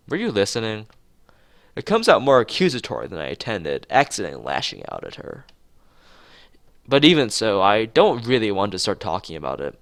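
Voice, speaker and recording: A man's voice: on the low side, creaky, fairly bright tone, no echo, clean studio sound. A man streaming a visual novel, reading the story aloud expressively with occasional asides.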